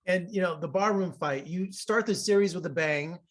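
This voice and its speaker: A man from Las Vegas, a film critic, interviewing an actor. He talks casually and warmly praises the cast, with many fillers. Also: high voice, normal speed. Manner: effusive and complimentary, with fillers.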